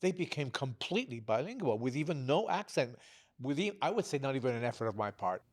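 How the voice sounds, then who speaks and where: A man's voice: mid-range voice, big pitch swings, a little fast. Older man, native French speaker, earnest. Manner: earnest, searching for words. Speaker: interviewee. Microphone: stand mic close by.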